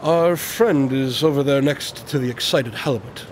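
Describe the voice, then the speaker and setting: An actor playing an eccentric, inquisitive detective in a radio drama, articulate and dryly witty, with formal phrasing. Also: male voice, mid-range voice, moderate pace, slight background noise, close to an everyday voice. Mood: brisk, pleased.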